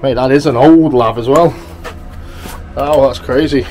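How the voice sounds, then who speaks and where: A man's voice: mid-range voice, a little slow, a little mellow, soft background music. A man, chatting casually to camera while exploring a derelict house and saying "guys" a lot. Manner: chatty, laughs often, keeps saying "guys". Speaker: urban explorer vlogger. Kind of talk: casual vlog narration. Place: outdoors and inside a derelict brick house. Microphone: handheld camera held close. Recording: noisy outdoor ambience.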